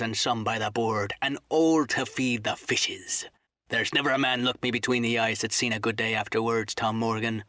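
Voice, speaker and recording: A man voicing a cunning, commanding old pirate sea cook who drawls threats in rough seafaring dialect, as in an audiobook. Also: mid-range voice, sharp tone, dry recording, clean studio sound, lightly acted. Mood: menacing. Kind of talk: dramatised audiobook reading.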